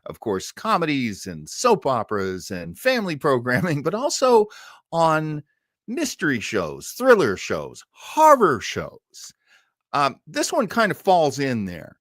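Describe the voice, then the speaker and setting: A man's voice: a little high, huge pitch swings, a little slow, a bit bright, no echo, clean studio sound. A man hosting an old-time radio livestream, chatting casually and enthusiastically in a rambling way.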